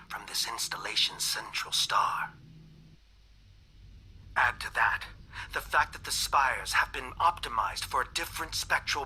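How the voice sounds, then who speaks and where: An actor playing a fearful dissident alien scientist in a game cutscene, speaking in a measured, grave manner. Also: male voice, mid-range voice, sharp tone, low-quality recording.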